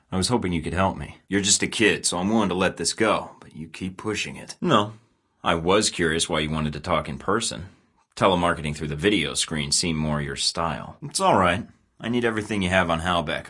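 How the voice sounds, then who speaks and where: An actor voicing a confident young American secret agent in a video game, with a wry, cocky way of delivering dry one-liners. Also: male voice, sits low, a bit bright. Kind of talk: game voice acting.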